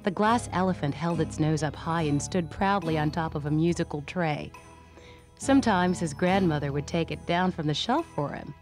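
A woman's voice: deep voice, normal speed, over music. A woman reading scripted narration for a children's storybook. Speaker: storybook reader.

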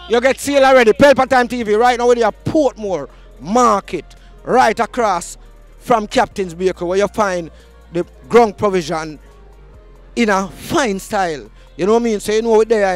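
A young Jamaican man hosting a street market vox pop outdoors with a handheld mic, hyped and shouting slogans in Patois banter. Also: sits high, normal speed, faint music behind.

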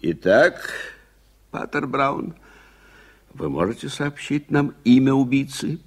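An actor in a Soviet radio play playing an irritable, impatient middle-aged gentleman, brusque and commanding. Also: mid-range voice, animated, slow. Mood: irritated, impatient. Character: irritable, impatient gentleman suspect, uncle of a nephew.

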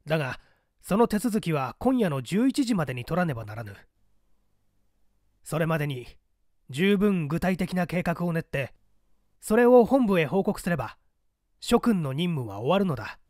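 A man's voice: fairly high pitch, sing-song, moderate pace, long pauses, darkish tone, no echo, clean studio sound. A man voicing a stern masked courier of a secret party, giving clipped, formal, authoritarian orders in an audiobook drama. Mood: formal, commanding.

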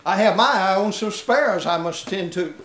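A man's voice: sits high, moderate pace, natural voice. Man playing echoey, commanding chief of angels. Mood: calm authority. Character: kindly authoritative heavenly chief of angels. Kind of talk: staged 1940s radio play acting. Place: theater stage. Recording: echoey stage, distant camera.

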